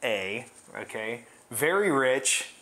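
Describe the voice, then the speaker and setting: A woman's voice: a bass voice, expressive, slow, a bit bright. A woman co-hosting a show, chatting casually in a playful, jokey way. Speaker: co-host of a movie-themed homebrewing show. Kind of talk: casual conversational chat.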